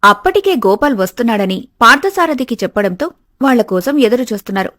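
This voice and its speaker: Fairly high-voiced woman narrating a story. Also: expressive, fast talker, a bit bright, no room sound, clean studio sound.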